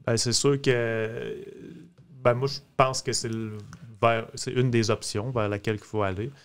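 A man in his thirties with a Quebec French accent, explaining things casually and haltingly as a guest on a studio mic. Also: medium pitch, flat delivery, clean tone. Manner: hesitant, halting. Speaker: podcast guest. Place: small podcast studio, indoors. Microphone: close studio mic on boom arm.

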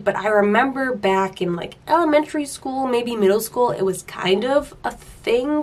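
A young woman in her twenties hosting a casual hair tutorial vlog at home, upbeat and chatty, a bit nasal from a cold. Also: medium pitch. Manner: chatty and upbeat, playful rhetorical questions. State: getting over a cold. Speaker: beauty vlogger host. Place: small room at home.

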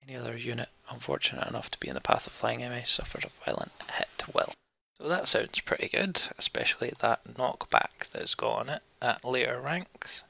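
A man narrates his way through a video game as a let's play host. His voice is very creaky and gravelly, with a husky grain and a bright edge. He moves between reading text aloud and muttering his own thoughts. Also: medium pitch, animated, fairly quick, hardly any pauses.

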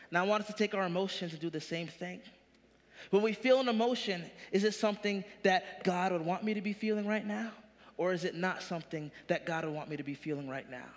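A man in his thirties preaching a sermon from a large church stage, animated, with punchy rising questions. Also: sits high, big-room sound. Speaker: pastor.

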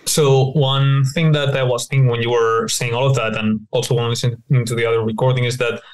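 A man of medium pitch chatting on a podcast. He rambles loosely, piling up fillers while he works out his point. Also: level tone, a little fast, poor audio. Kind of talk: casual discussion.

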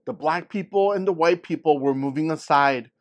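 A man's voice: fairly high pitch, normal speed, close to an everyday voice. A man reading a picture book as a young girl earnestly and expressively telling her story. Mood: earnest, suspenseful. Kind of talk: scripted picture-book read-aloud.

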